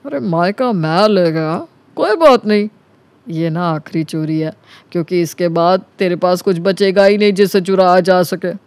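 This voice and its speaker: Cartoon story role: male actor as sly young flatmate, notably high voice, glib teasing patter, dry recording. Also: moderate pace, no room sound. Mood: taunting. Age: young adult. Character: cunning, smooth-talking young man scheming against his flatmate. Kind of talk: Hindi animated story dialogue.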